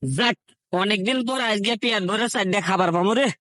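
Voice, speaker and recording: A man's voice: high voice, fairly quick, sharp tone, no room sound. A man playing a jokey, food-loving village husband in a comic cartoon, teasing and exclaiming, with a northern Bangladeshi Bengali accent. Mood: eager, contented.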